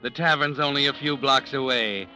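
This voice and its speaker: A man's voice: medium pitch, normal speed, natural voice, low-quality recording. An actor playing a sardonic, all-knowing male narrator in a 1940s radio drama, speaking to the hero as "you" in a mocking, insinuating way. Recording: old radio broadcast with music. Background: music.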